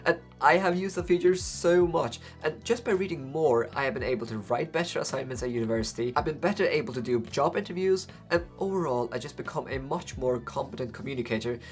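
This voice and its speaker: A man's voice: medium pitch, fairly quick, hardly any pauses, faint music behind. A young man with a Faroese accent, whose first language is Faroese, hosting a book channel and talking to the camera in a conversational way, often asking rhetorical questions. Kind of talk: talking to camera about books.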